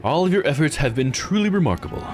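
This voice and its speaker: A man's voice: a little high, animated, quick pace, music in the background, pitched above the performer's own voice, lightly acted. A man voicing a courteous, formal storyteller from a mystery game, reading scripted narration ceremoniously. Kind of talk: scripted narration read aloud.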